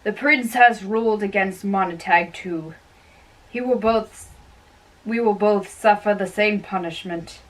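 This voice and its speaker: A woman doing an amateur dramatic reading of a play, haltingly, often repeating and correcting her lines. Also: mid-range voice, far from the mic, poor audio.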